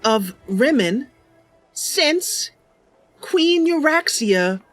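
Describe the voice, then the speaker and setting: A woman streamer hosts a roleplay game in a chatty, welcoming way. Her voice is on the high side and very animated, taking its time, with music behind. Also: very slow, no room sound. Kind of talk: casual livestream game commentary with narration.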